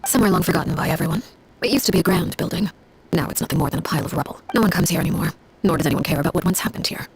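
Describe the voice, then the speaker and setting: Low, creaky, coldly composed commander. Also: female voice, a little mellow. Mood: composed, solemn. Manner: measured, formal, unsentimental storytelling. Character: cold, composed woman, a Harbinger telling her grim past. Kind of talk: scripted dramatic game dialogue. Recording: music behind the voice.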